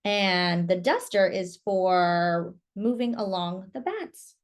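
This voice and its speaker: Slow, very animated woman reading aloud. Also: mid-range voice. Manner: asks young listeners questions and comments on pictures. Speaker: storyteller. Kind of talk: children's picture book read-aloud.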